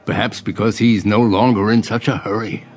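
A man's voice: on the low side, moderate pace, darkish tone, lightly acted. An actor playing a genial, courtly old man in an audio drama, with a folksy, old-fashioned and wistful manner. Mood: wistful, gentle. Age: older. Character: genial, courtly, idle old gentleman regular. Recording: faint music behind.

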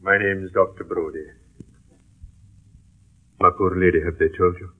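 An actor playing a kindly, grave doctor in a radio drama, speaking in a solemn, halting, formal way. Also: male voice, sits low, little pitch movement. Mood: grave, sympathetic. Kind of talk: early radio drama acting. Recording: tinny, band-limited old radio recording.

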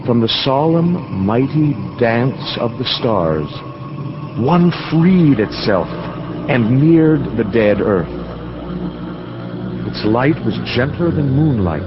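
Male narrator, mid pitch, solemn incantatory radio-drama reading, dull lo-fi audio. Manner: slow, solemn, incantatory delivery.

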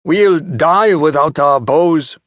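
A man's voice: on the high side, slow, dry recording, voice pushed up. A man voicing a desperate young hunter who pleads urgently.

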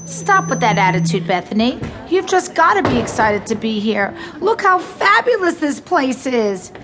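A woman's voice: high voice, very animated, moderate pace, background noise. An actress playing a pushy, gushing pageant mother who talks to her young daughter with breathless, exaggerated emphasis in an audio drama. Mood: coaxing, insistent.

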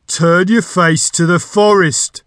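A man voicing a talking magic door from a storybook, a gruff, self-important gatekeeper with a booming gravelly voice. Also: steady tone, slow pace, a bit bright, dry recording, clean studio sound. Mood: stern, commanding. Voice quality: deep, gravelly.

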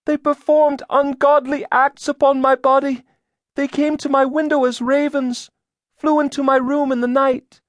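A man voicing a high-pitched, frightened teenage girl. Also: little pitch movement, normal speed, no echo. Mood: entranced, fearful. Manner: trance-like, fearful declaiming. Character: frightened sixteen-year-old Puritan girl, entranced witness.

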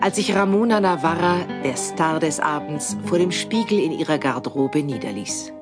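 A woman's voice: low-pitched, lively intonation, normal speed. A woman narrating a scripted audio drama in a storytelling role. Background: music.

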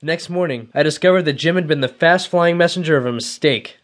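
An actor playing a restless, brooding young Civil War recruit in a radio drama, earnest in manner, with an American accent. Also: male voice, on the high side, brisk, natural voice. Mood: reflective. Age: young adult. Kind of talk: radio drama acting and first-person narration.

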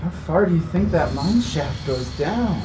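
A woman's voice: on the low side, animated, a little slow, very noisy. A woman playing an eager, breezy, bold young amateur photographer in an audio drama. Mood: curious. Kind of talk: full-cast audio drama acting.

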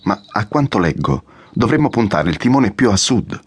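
Loyal army aide, husky lowish voice, goes up and down a lot, respectful, dry sound, radio drama. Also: male voice, big pitch swings, normal speed, no room sound. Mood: careful, explanatory. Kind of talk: audiobook radio drama acting.

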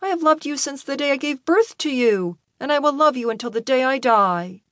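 A woman's voice: high-pitched, expressive, fairly quick, fairly bright tone, no room sound, studio quality, pitched above the performer's own voice. A woman voicing a loving, emotional mother, tender and anxious for her child, in an audiobook story. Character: loving, distressed mother soothing and pleading.

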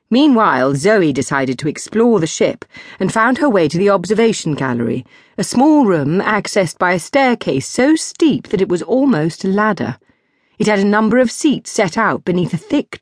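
Female audiobook narrator, lowish voice, dry studio sound, scripted fiction. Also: no room sound.

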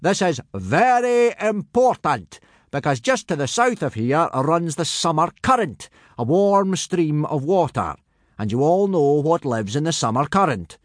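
Storybook Viking drill master: raised, swooping pitch, booming, smug and bossy delivery. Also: male voice, sing-song, unhurried, no room sound, clean studio sound. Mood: booming, commanding. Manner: bellowing, boastful, commanding. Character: booming, pompous, self-satisfied Viking warrior instructor, adult man.

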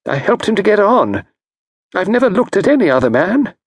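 A man's voice: high-pitched, sing-song, husky, dry recording, clean studio sound, voice pushed up, heavily acted. A man voicing an aggrieved middle-aged wife, bursting out with jealous complaints. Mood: miserable. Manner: rushed, complaining outbursts.

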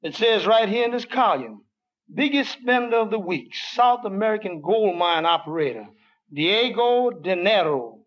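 A deadpan tavern worker. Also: male voice, high-pitched, moderate pace. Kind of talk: 1940s radio comedy acting. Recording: dull old radio broadcast.

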